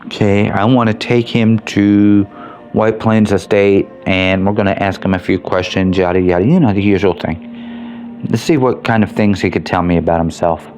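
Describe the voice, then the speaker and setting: A man's voice: lowish voice, fairly even intonation, normal speed, a little mellow. A man giving casual, chatty, rambling commentary as he plays through a video game. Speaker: gaming video host and reviewer. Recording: faint background game noise. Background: video game ambience.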